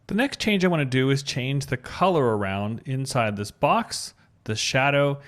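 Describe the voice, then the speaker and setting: A man's voice: medium pitch, normal speed, fairly bright tone. A man presenting a screencast tutorial, narrating each step in a casual, informal way and thinking aloud as he demonstrates. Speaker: tutorial presenter, web designer.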